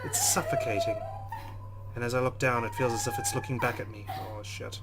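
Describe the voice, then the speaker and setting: A man's voice: medium pitch, wildly swooping pitch, a little fast, loud music behind the voice, rough recording. A man hosting a let's play, reading a visual novel's text aloud dramatically and adding his own commentary.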